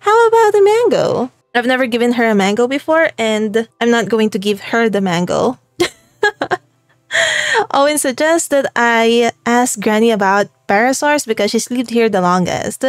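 A young woman with a Filipino accent talks her way through a game, upbeat and chatty and quick to laugh. Her voice sits a little high. The sound is close and dry, with no room around it. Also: very animated, no room sound. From Philippines. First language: Tagalog. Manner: cheerful and chatty, laughs often. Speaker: gaming channel host. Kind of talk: let's play commentary.

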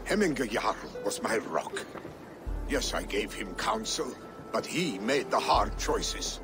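A weary old Viking counsellor in a game drama. He is solemn, loyal and resigned, with a low voice over clear music. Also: big pitch swings, slow pace. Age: older. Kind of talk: video game dramatic acting.

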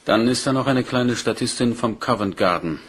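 A man's voice: mid-range voice, moderate pace, a bit bright, slightly rough. A man acting a dutiful, matter-of-fact police inspector in a German crime radio play, polite and orderly in his delivery. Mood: reporting. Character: dutiful, matter-of-fact male Scotland Yard detective inspector.

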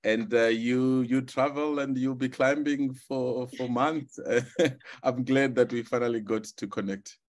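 A man's voice: mid-range voice, steady tone, a little slow. A man hosts a podcast interview over a video call. He is friendly and hesitant, padding his questions with fillers and restarts. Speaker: podcast host. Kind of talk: interview hosting.

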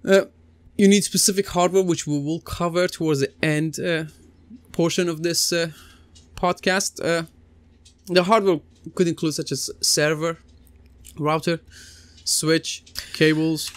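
Man teaching a lesson, clear dry sound. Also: fairly high pitch, fairly even intonation, measured pace, dry recording. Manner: conversational, many uh fillers and restarts. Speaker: computing teacher. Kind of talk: recorded lesson over slides. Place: small indoor room, seated at desk.

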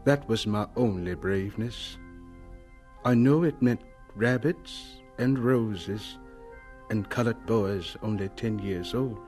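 A man's voice: lowish voice, slow, darkish tone, faint music behind. An actor playing a reflective man narrating his own boyhood in a radio drama.

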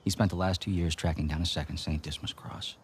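An actor playing a smug, taunting American villain in a video game cutscene, with theatrical sarcasm. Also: male voice, low-pitched, steady tone, quick pace, a little hiss or hum, natural voice. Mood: taunting, gleeful. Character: smug, mocking male villain, rich treasure hunter.

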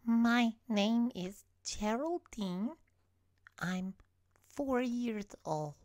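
A woman puts on a bashful four-year-old girl with a high, small voice. She talks extremely slowly and haltingly, in a comedy sketch. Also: darkish tone, dry recording, studio quality. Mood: shy. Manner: timid, halting child speech.

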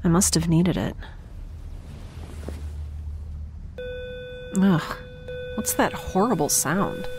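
A woman's voice: fairly low pitch, lively intonation, measured pace, a little mellow, faint music behind. A woman playing a chatty, quirky, anxiously upbeat space dweller in an audio drama, rambling wryly and naturally. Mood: groggy. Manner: rambling, wry, self-reassuring. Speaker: lead character. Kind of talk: naturalistic audio drama acting, talking to herself.

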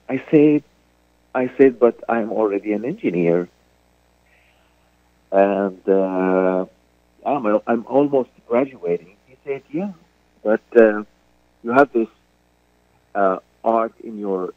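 A middle-aged man with a Syrian Arabic accent tells his story at an unhurried pace, pausing and restarting often. His voice reaches the show through a narrow, tinny phone line with no room sound. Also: fairly low pitch, dry recording. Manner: slow, halting, many fillers and restarts. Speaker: guest actor. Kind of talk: radio interview. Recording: dry phone-line call.

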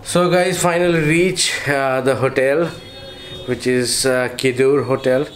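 A man's voice: mid-range voice, fairly even intonation, unhurried, faint music behind, rough recording. A man in his thirties with an Indian English accent, chatting into a phone camera held at arm's length as a travel vlogger. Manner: chatty, talks straight to a handheld camera. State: tired near the end. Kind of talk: casual travel vlog. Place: outdoors by roads, in a car and in hotel rooms. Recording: outdoor traffic noise.

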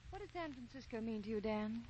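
High-voiced romantic heroine, acted by a woman, old radio. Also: expressive, quick pace, dark tone, slight background noise, close to an everyday voice. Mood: wistful. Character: devoted, romantic young lady deeply in love. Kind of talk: 1940s radio acting. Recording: muffled 1940 radio broadcast, faint music.

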